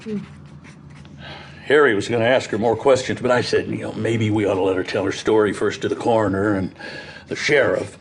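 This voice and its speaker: An actor playing an earnest, hesitant farmer giving witness in an audio drama, with an American accent and halting, folksy storytelling. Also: male voice, fairly low pitch, steady tone, creaky, slight background noise. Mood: earnest recounting. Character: plain-spoken farmer witness, earnest and hesitant, recounting a grim discovery. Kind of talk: audio theatre acting.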